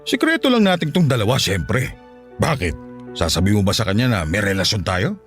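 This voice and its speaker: Male actor as middle-aged Filipino widower, low pitch, suggestive and coaxing, hugely varied intonation, radio drama. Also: sing-song, brisk, fairly bright tone, faint music behind. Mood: coaxing, sly. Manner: suggestive, coaxing, chuckling seducer. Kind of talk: Tagalog radio drama acting.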